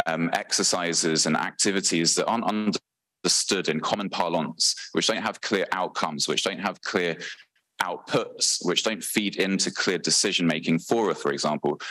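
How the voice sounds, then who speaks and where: A very deep-voiced British man gives a conference talk into a handheld mic. He speaks earnestly, with plenty of ums and self-corrections. The sound is close and dry. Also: a bit bright, no echo, low-quality recording. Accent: British English. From Britain. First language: English. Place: large conference hall, on stage.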